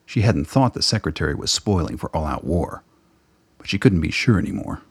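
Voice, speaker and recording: A man's voice: a bass voice, very animated, a little fast, husky, no echo. A man reading scripted narration for an audio drama.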